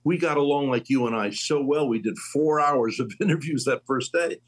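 A man in his late sixties hosting a casual faith conversation, telling stories with lively swings in his voice. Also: medium pitch, normal speed, husky. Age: 60s. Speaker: host.

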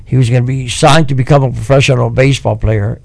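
A man's voice: mid-range voice, fairly even intonation, fast talker, vocal fry, dry recording. A man, giving an earnest, scripture-quoting personal testimony as a radio guest. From Cuba and the United States.

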